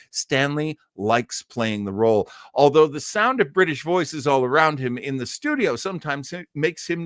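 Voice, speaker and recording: Man with lively, swooping delivery, close dry sound. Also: medium pitch, no room sound. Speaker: live stream host. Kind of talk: casual live commentary on old-time radio, reading an article aloud.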